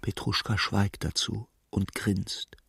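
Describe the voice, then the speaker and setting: An actor narrating a literary radio play as a sombre storyteller, measured and dryly ironic. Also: male voice, lowish voice, little pitch movement, creaky, pushed and bright, slightly rough, no room sound, studio quality, full character voice. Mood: dry, subdued. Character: sombre, wry male storyteller of a feverish literary tale.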